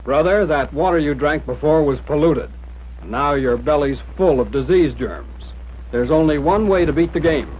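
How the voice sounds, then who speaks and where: A man's voice: fairly high pitch, moderate pace, a little hiss or hum. An American man reading a scripted 1940s military instructional narration in a plain-spoken, direct, instructive manner. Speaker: training film narrator. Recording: muffled, dull 1940s film soundtrack.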